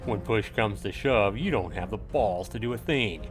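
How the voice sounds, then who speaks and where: A man plays an arrogant young nobleman from a visual novel. He taunts and sneers in a loud, low-pitched voice, goading a rival to fight. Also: animated, normal speed, soft background music, lightly acted. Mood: sneering, challenging. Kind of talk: visual novel character read aloud.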